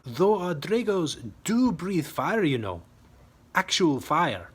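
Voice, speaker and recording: A man's voice: fairly high pitch, sing-song, slow, breathy edge, pitched above the performer's own voice, heavily acted. A man voicing a small, skeptical WWI pilot in a storybook reading, with dry, teasing sarcasm. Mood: mildly skeptical.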